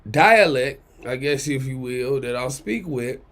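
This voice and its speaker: A man with a raspy baritone ranting in casual commentary, blunt and profane, driving points home with repeated "okay?". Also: lively intonation, measured pace, fairly bright tone. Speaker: commentary channel host.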